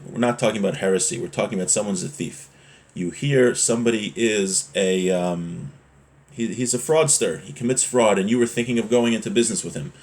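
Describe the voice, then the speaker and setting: Low-voiced man teaching a religious text. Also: a little mellow. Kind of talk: informal Torah lesson, reading and explaining a text.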